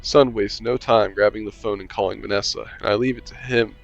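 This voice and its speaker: A man's voice: lowish voice, fairly even intonation, brisk, gravelly, muffled recording, faint music behind. Casual young man reading a game aloud. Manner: casual, joking asides, hums to himself. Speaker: gaming channel host. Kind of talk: reading a visual novel aloud with commentary.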